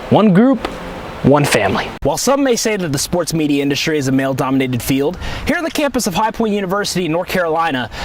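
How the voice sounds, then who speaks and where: Young male American sports broadcaster, high-pitched and animated, punchy emphatic delivery. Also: a little hiss or hum. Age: young adult. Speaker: student sports anchor, reporter and play-by-play commentator. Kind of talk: scripted sports news and commentary.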